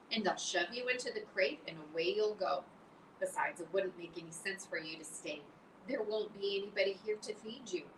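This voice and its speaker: A woman reading a calm, low-voiced spider lady. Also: lightly acted. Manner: low, soothing, firm. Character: calm, wise, dying female spider. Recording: roomy, distant mic, faint hiss.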